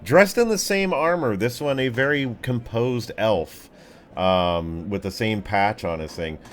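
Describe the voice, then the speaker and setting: A man's voice: fairly low pitch, unhurried. A man casually improvising narration as game master of a tabletop game, in a laid-back, colloquial way.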